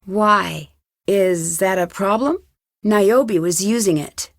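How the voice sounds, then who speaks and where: A woman's voice: medium pitch, takes it slowly, no echo, clean studio sound. An actress playing an inquisitive, polite young woman detective in a video game, speaking in an earnest, questioning way. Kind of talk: video game dialogue acting.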